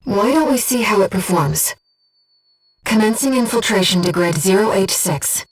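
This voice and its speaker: A woman voicing a gentle, earnest disembodied being in a video game, guiding a pilot with composed, precise, softly formal delivery. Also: medium pitch, animated, normal speed, breathy edge, far from the mic, soft background music, rough recording. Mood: composed, focused. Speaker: mission support operator. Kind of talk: scripted video game voice acting.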